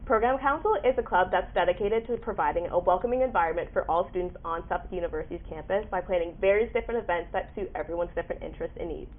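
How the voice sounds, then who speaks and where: A young woman hosts a casual interview podcast with effusive, peppy delivery. Her voice is a little low and husky. It comes through thin and band-limited, like a phone line. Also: rapid-fire, few pauses. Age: young adult. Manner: effusive, peppy, frequent backchannels. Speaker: podcast host. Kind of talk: upbeat scripted introduction and casual interview. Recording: muffled phone-like, radio-like sound.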